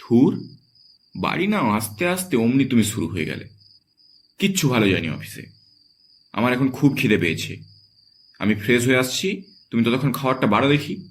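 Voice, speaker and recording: A man's voice: medium pitch, big pitch swings, brisk, long pauses, a little hiss or hum, lightly acted. A man voicing a worried, irritable young husband who is out of work, in a horror cartoon. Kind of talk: Bengali horror cartoon voice acting.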